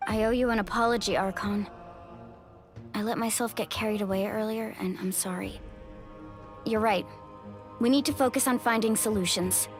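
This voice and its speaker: A woman's voice: mid-range voice, fairly even intonation, moderate pace, pauses often, natural voice. A woman playing a loyal, principled young female warrior in a video game, speaking in an earnest, heartfelt way. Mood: apologetic, subdued. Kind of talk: video game character acting. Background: music.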